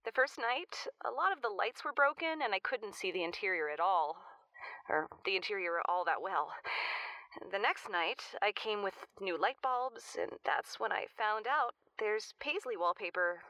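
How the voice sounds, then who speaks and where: Danielle, bright, flustered radio caller. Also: female voice, medium pitch, lively intonation, moderate pace, no echo, low-quality recording. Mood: uneasy, puzzled. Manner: hesitant, self-correcting, awkward. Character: Danielle, flustered, rambling amateur house-flipper calling a radio show. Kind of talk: naturalistic audio drama acting.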